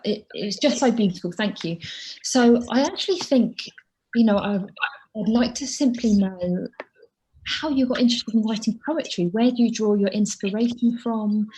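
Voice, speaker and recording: A woman's voice: on the high side, moderate pace, dark tone, low-quality recording. A woman hosting an online conversation with a fellow poet over a video call, effusive and rambling in her questions. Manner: effusive, rambling, with fillers and restarts. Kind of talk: conversational interview.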